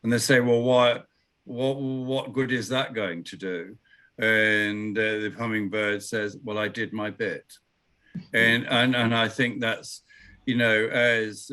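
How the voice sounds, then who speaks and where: A man with a lowish, bright, forceful voice tells a story at a slow pace. He rambles and hesitates, filling gaps with "uh" and "you know". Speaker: presenter. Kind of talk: discussion after a talk.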